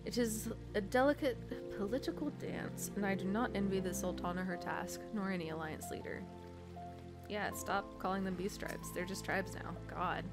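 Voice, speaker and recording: A woman's voice: medium pitch, moderate pace. A woman hosts a video game playthrough over prominent background music. Her voice sits in the middle range as she reads out dialogue and slips into casual commentary. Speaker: gaming channel host. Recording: loud game music behind the voice.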